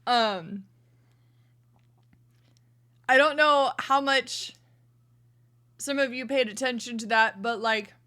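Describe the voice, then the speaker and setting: A hoarse woman streamer chatting casually to her livestream in a chatty, self-deprecating way from a room at home. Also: fairly high pitch, slow pace, pauses often, bright, forceful tone.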